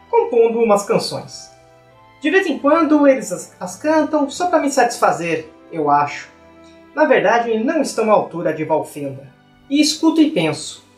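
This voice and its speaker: A man's voice: very high voice, reverberant. A man voicing an elderly, wistful hobbit who rambles reflectively in a storybook reading. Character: elderly male hobbit, wistful, chatty adventurer. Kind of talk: dramatized book reading. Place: small indoor room.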